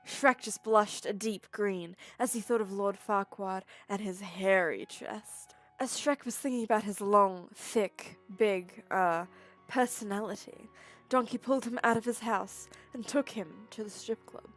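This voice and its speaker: A woman giving a mock-dramatic, deadpan comedic reading of a story. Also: medium pitch, unhurried, faint music behind. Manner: deadpan mock-serious narration. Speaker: reader.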